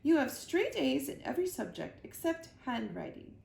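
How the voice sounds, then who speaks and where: A woman's voice: high voice, very animated, moderate pace, darkish tone, voice pushed up, exaggerated voice. A woman voicing a haughty, commanding Queen of England with an imperious, formal manner for a children's storybook. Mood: brisk, imperious. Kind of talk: children's storybook read-aloud. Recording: roomy echo, clear background noise.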